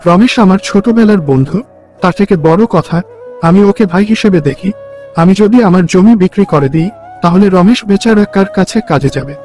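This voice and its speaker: Man voicing a high-pitched, loyal young husband. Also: fairly quick, a little mellow, soft background music, close to an everyday voice. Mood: earnest. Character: kind, simple, loyal young husband and farmer's friend. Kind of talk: Bengali cartoon story voice acting.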